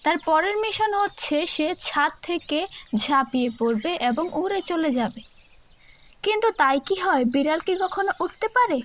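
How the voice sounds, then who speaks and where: A woman's voice: very high voice, expressive, unhurried. A woman narrator telling a scripted children's cartoon story.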